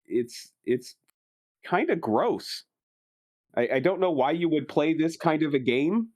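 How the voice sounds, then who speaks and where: A man's voice: on the high side, lively intonation, measured pace, darkish tone. A man playing a tabletop roleplaying game, improvising conversational in-character remarks. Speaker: player.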